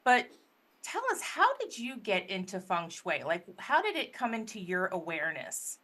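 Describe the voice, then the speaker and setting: A woman hosting a podcast interview, enthusiastic and a little halting as she asks her questions. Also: medium pitch, sing-song, a bit bright. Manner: enthusiastic, stumbling over questions. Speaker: podcast host.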